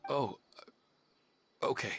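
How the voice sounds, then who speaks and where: A man's voice: slow pace, music in the background, lightly acted. A man voicing a dazed, troubled husband in an anime video game, hesitant, earnest and apologetic.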